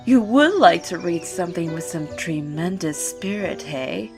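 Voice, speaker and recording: A woman's voice: fairly low pitch, animated, measured pace, creaky. A woman acting as hostess and narrator of a scripted story, telling it in a dramatic storytelling style. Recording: long reverb, music behind.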